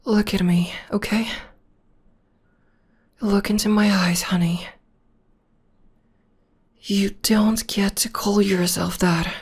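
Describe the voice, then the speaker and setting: A woman voicing a devoted young witch girlfriend in an ASMR roleplay, talking in a soft half-whisper close to the mic. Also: mid-range voice, steady tone, takes it slowly, long pauses, breathy edge. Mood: tender, reassuring. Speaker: voice actor.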